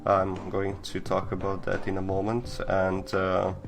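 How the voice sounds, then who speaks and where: A young man hosting a casual live stream, talking haltingly with many fillers and restarts. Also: sits low, monotone, gravelly. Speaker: host.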